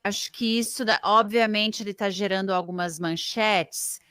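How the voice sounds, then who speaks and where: A woman hosting an interview, asking questions in a measured, conversational way. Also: mid-range voice, fairly even intonation, bright, forceful tone, smooth, no echo, studio quality.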